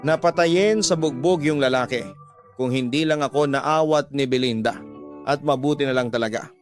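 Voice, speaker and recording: A man performs a betrayed husband in a radio drama, wounded and earnest. He pleads his side with aggrieved emphasis at a middling pitch, with music playing underneath. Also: moderate pace, lightly acted. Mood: hurt, bitter. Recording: music bed behind the voice.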